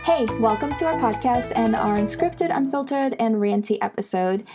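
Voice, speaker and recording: A woman's voice: fairly high pitch, lively intonation, normal speed, music in the background. A woman hosting a podcast in a loose, informal way, with plenty of "like" and "um". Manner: informal, rambling, lots of fillers. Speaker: podcast host. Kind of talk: casual podcast chat.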